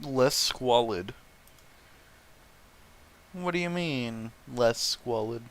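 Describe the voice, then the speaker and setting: A man's voice: mid-range voice, expressive, extremely slow. A man casually reading fan fiction aloud and mocking it with sarcastic, sweary, amused commentary.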